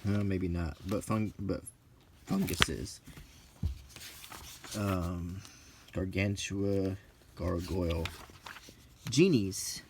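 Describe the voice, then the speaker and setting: A middle-aged man rambling casually in a halting, unhurried way as he browses a book, with dry asides. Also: low voice, slight background noise. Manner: unhurried, halting, dryly joking. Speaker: hobbyist video presenter.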